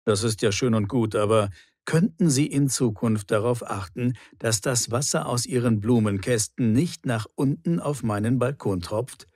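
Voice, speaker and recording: A man playing a particular, reproachful neighbour in a clearly articulated language-lesson dialogue. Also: mid-range voice, moderate pace, darkish tone, dry recording, clean studio sound, close to an everyday voice. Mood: complaining. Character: grumbling male apartment neighbour, particular and reproachful.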